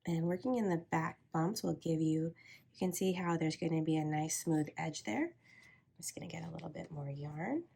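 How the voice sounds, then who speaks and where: A woman with a head cold, sounding congested, calmly talking through a crochet tutorial step by step. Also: low voice, moderate pace, gravelly, husky. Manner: calm, steady instructional pacing with soft asides. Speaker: crochet teacher.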